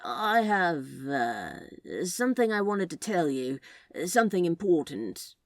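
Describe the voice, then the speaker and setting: A woman's voice: on the low side, big pitch swings, takes it slowly, dry recording, studio quality, exaggerated voice. A woman voicing a sly, laid-back male office worker who plays at being a spy, with a drawling, hesitant, conspiratorial manner. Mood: nervous.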